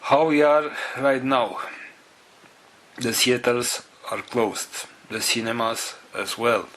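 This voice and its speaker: Slow, halting man with a bright voice. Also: medium pitch, slight background noise, poor audio. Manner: halting, broken into short phrases with pauses; non-native English phrasing. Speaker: spiritual group organizer. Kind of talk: organizational announcement to a group.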